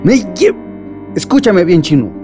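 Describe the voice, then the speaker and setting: An actor playing a threatening, sneering crime boss in a radio drama. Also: male voice, high voice, sing-song, unhurried. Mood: menacing. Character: menacing crime boss threatening his informant accomplice. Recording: guitar music behind the voice. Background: guitar music.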